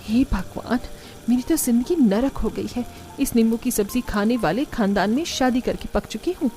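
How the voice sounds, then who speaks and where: A woman voicing an exasperated, sarcastic young daughter-in-law in a cartoon story, complaining with a sharp tongue. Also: on the high side, moderate pace, a little hiss or hum, natural voice. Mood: grumbling.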